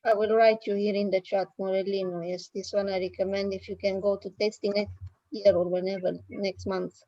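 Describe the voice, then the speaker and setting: A woman's voice: mid-range voice, little pitch movement, brisk, mellow, no room sound. A young woman from Romania with a Romanian accent, chatting casually on a group video call. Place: indoor room at home, on a video call. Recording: muffled, dull video-call audio.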